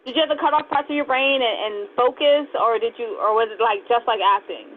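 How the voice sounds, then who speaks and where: A woman's voice: fairly high pitch, fairly quick. A woman interviewer chatting casually and putting questions to her guest. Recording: dull lo-fi audio, faint noise.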